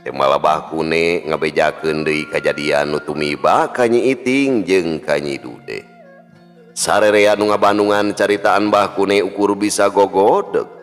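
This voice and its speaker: A man's voice: deep voice, measured pace. A man with a Sundanese accent narrating a folk tale in a storyteller's style. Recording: long hall reverb with music. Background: music.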